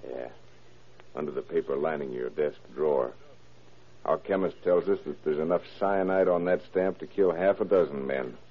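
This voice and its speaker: A very low-voiced police detective takes charge, calm and shrewd. He is gruff and wry in the old 1930s radio drama manner, on a muffled vintage broadcast recording. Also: moderate pace. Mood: wry, stern.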